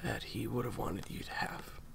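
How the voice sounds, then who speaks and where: A man playing a grieving, guilt-ridden secret agent in an audio roleplay, choked up and halting, sometimes dropping toward a whisper. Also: fairly low pitch, vocal fry, heavy background noise. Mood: subdued, sorrowful. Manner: halting, faltering, breaking into whispers. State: upset, choked up with grief. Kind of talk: intimate audio roleplay acting.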